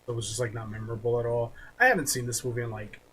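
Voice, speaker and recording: A man hosting a podcast in casual chat, with a halting, offhand way of talking. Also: mid-range voice, steady tone, fairly bright tone. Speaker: podcast host. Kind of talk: casual chat, movie ranking. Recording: clearly noisy background.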